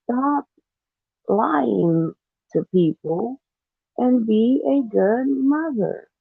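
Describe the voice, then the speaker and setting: A man's voice: extremely high pitch, expressive, drawn-out words, gravelly, slightly rough, dry recording. A man talking earnestly and disapprovingly as co-host of a casual livestream, reading out comments and giving commentary, with thin phone-like audio.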